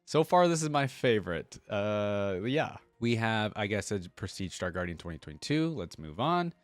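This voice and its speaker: A man's voice: lowish voice, big pitch swings, moderate pace, no room sound. A man giving casual reaction commentary as a video host, chatty and enthusiastic as he thinks aloud.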